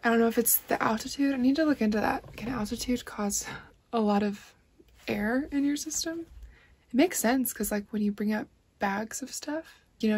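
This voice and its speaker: A woman's voice: a little high, a little mellow, husky. Young woman chatting in a wooden cabin. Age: young adult. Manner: chatty and self-reflective, laughing often. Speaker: vlogger. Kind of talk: casual vlog chat. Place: small wooden cabin indoors.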